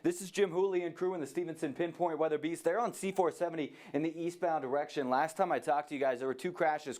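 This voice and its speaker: Male American traffic reporter, fairly high voice, brisk and conversational live delivery. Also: darkish tone.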